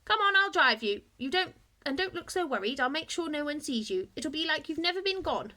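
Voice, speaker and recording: A woman's voice: high voice, big pitch swings, brisk, sharp tone, pitched above the performer's own voice, full character voice. A woman voicing a kindly, hospitable old lady in a children's storybook. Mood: reassuring.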